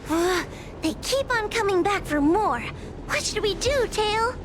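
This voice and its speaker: A woman's voice: very high voice, expressive, measured pace, noisy background. A woman voicing a timid, anxious young girl in a video game, speaking meekly and hesitantly. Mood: nervous. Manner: hesitant, meek. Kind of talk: scripted video game voice acting. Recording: voice under prominent music.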